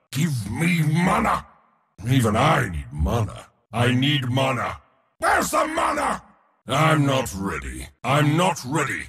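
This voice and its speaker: An actor voicing a proud, pompous god of war for a video game, with a theatrical, commanding military delivery. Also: male voice, medium pitch, in a large hall, exaggerated voice. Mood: impatient. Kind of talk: video game hero voice lines.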